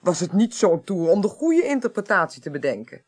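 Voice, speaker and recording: A woman's voice: mid-range voice, sing-song, fairly quick, over loud music, close to an everyday voice. Actress as shrewd, composed woman investigator. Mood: composed explaining. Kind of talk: Dutch radio play acting.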